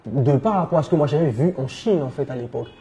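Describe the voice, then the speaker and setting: A man with a West African French accent, answering conversationally as an interview guest, telling his experience in an animated way. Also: mid-range voice, fast. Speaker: interview guest, entrepreneur and coach.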